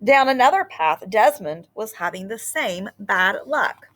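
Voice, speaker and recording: A woman reading a children's picture book aloud in an expressive storytelling style. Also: medium pitch, measured pace.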